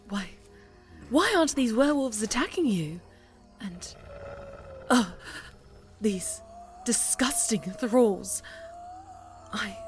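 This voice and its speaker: Woman acting as slow-spoken, stern witch mentor. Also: a little high. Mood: shocked. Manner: formal, commanding, questioning. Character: stern, highly strung female witch leader, mentor to a pupil. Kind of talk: ASMR fantasy roleplay acting.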